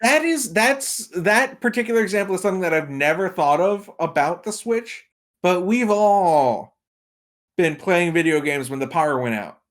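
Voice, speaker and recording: A man's voice: high voice, lively intonation, moderate pace. A man speaking casually and enthusiastically as a guest on a video game podcast.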